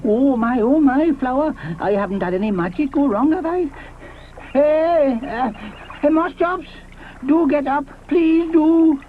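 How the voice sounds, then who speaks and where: A man voicing a fussy, panicky male ichthyosaur with a shrill, flustered voice in a storybook. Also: a little slow. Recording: tinny lo-fi with faint hiss.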